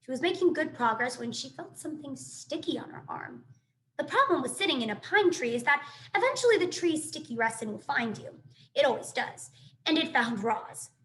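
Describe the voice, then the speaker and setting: A woman reading a children's book aloud in an expressive, animated storytelling manner. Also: high voice, normal speed. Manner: animated, dramatic sound effects and asides.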